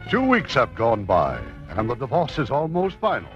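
A man's voice: medium pitch, wildly swooping pitch, moderate pace. A man narrating a 1950s radio drama as its announcer, formal and theatrical in scripted style. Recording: muffled 1950s radio broadcast. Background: music.

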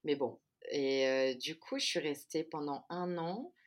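A woman's voice: low-pitched, unhurried. A woman in her thirties, a podcast guest talking casually and stopping often with fillers and restarts. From France. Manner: hesitant, many fillers and restarts. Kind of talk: casual chat.

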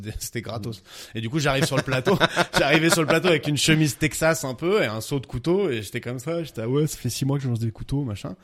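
A man, a podcast guest, telling a funny anecdote in casual chat. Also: mid-range voice. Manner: fast, animated anecdote telling with laughs.

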